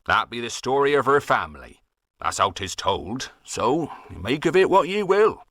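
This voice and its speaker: A man's voice: medium pitch, big pitch swings, normal speed, fairly bright tone, no echo, studio quality. A man doing a rambling old West Country farmer. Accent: West Country English. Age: older. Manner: rambling folksy dialect storytelling.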